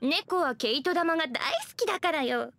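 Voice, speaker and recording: A woman's voice: sits high, moderate pace, a bit bright. An actress playing a cheerful, sweet young townswoman in a video game. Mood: indulgent. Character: cheery young townswoman, indulgent cat owner. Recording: music under the dialogue.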